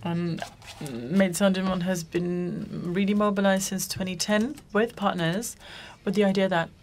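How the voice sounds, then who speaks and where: A woman's voice: mid-range voice, normal speed, darkish tone, slight background noise. A woman moderating a conference panel, speaking loosely and often correcting herself mid-sentence. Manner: loose, self-correcting, with frequent restarts. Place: conference room.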